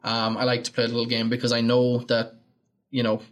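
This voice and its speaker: A young Irish man from Galway chatting easily on a podcast, with a voice of middling pitch. He tells long anecdotes and slips into raised voices when he quotes other people. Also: completely flat delivery, fairly quick. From Ireland. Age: 20s. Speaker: podcast co-host. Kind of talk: casual podcast chat.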